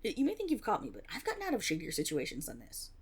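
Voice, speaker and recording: A woman's voice: mid-range voice, wildly swooping pitch, rapid-fire, breathy edge. A woman playing a flustered young tiefling rogue in a fantasy audio roleplay, stammering and blustering, cocky on the surface but unsure underneath. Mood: defiant. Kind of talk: fantasy roleplay audio drama, one-sided dialogue.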